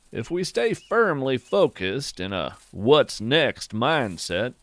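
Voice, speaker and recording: A man reading a scripted, reflective podcast narration in a measured, deliberate manner with pauses and emphatic phrasing. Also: mid-range voice, a bit bright, no echo. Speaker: podcast host and writer.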